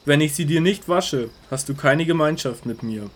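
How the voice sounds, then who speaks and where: An actor playing a calm, authoritative male teacher in a radio drama, speaking solemnly and earnestly. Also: fairly high pitch, moderate pace, natural voice.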